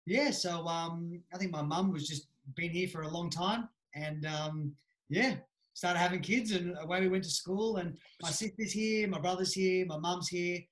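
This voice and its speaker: A man's voice: high-pitched, moderate pace. An Australian man in his thirties chatting casually as an interview guest, easygoing with lots of fillers. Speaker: guest, judo coach. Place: small room at home. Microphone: webcam video call. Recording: echoey room.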